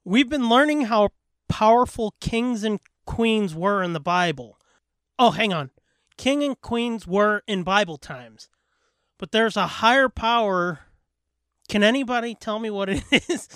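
A man's voice: sits high, animated, measured pace, a bit bright, clean tone, no echo, clean studio sound. A man co-hosting a casual comedy podcast, bantering and swearing freely. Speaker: podcast co-host. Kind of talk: casual, profane podcast banter and joke reading.

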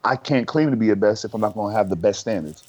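A man's voice: lowish voice, fast, a little mellow. A man co-hosting a podcast in casual banter, boastful and full of brash trash talk. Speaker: podcast co-host.

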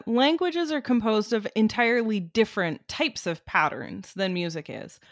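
A woman's voice: mid-range voice, no echo. A woman with a mid-range voice explains things in an articulate, conversational way, like an educator in an interview. The recording is dry, with very little room sound. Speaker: music cognition researcher and educator, interviewee.

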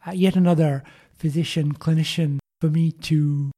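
A man hosting a podcast, interviewing a guest in formal, deliberate phrasing and a measured conversational style. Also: fairly high pitch, steady tone, warm tone.

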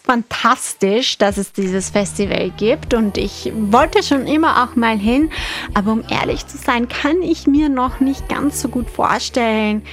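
A woman hosting a conversational interview in a radio studio. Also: a little high. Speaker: radio show host.

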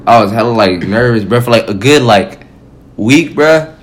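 A man's voice: mid-range voice, lively intonation, moderate pace, slight background noise. A young man co-hosting a casual podcast, telling stories in profane, slangy talk with acted-out quotes. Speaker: podcast co-host. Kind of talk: casual chat and storytelling.

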